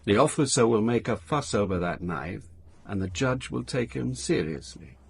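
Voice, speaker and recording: Wry, expressive police inspector. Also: male voice, fairly low pitch, moderate pace, gravelly, breathy edge, exaggerated voice. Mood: wry, probing. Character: wry Amsterdam police inspector, an outsider, probing and world-weary. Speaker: lead actor and narrator. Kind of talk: radio drama acting with first-person narration.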